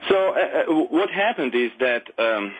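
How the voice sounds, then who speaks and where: A middle-aged man from Armenia whose first language is Armenian, speaking English with an Armenian accent. He calls in to a radio show and talks earnestly, haltingly, with many restarts and non-native grammar. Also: medium pitch, very animated, narrow, tinny audio. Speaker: caller. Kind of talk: call-in radio conversation.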